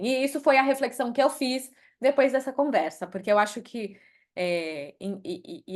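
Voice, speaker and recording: A middle-aged Brazilian woman with a Brazilian accent talks frankly in a podcast interview. Her pitch sits in an ordinary middle range. She reflects aloud, now and then breaking into English phrases. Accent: Brazilian Portuguese. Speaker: guest, HR executive.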